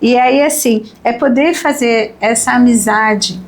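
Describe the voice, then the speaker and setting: Fifties woman hosting a faith podcast; higher pitch, talks things through aloud. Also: a little slow. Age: 50s. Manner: animated, reflective, many "né?" tags. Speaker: host.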